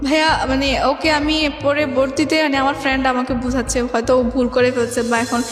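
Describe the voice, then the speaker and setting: A woman's voice: high voice, level tone, fairly quick. A young woman with a Bangladeshi accent telling her life story as an interview guest in rambling, colloquial speech. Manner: rambling, colloquial, often recounts reported speech. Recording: echoey reverberant room, music underneath.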